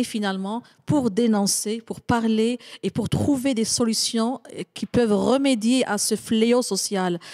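A woman's voice: on the high side, darkish tone. A middle-aged woman from Morocco, an intercultural consultant, speaking formally on a panel into a handheld mic. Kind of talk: panel discussion.

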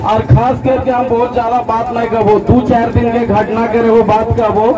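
A man's voice: extremely high pitch, level tone, normal speed. A young man with a Jharkhand regional Hindi accent gives a fiery political rally speech into a handheld microphone outdoors under a tent. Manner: fiery, chant-leading, rhetorical. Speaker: rally speaker. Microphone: handheld microphone over loudspeakers, filmed by phone. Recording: distant, muffled PA sound with loud crowd.

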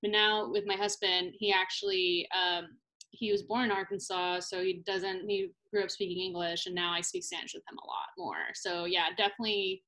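A young woman hosts an interview over a laptop webcam from a small room at home. She asks friendly, rambling questions full of fillers. Her voice sits at an ordinary middle pitch. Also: flat delivery, moderate pace, sharp tone, rough recording. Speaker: interviewer for language school. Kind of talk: casual interview over video call. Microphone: laptop webcam on video call.

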